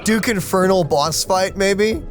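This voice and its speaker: A young adult gamer chatting casually through a playthrough in an excitable, slangy way. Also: mid-range voice, measured pace. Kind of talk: casual let's play chat. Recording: game music behind the voice.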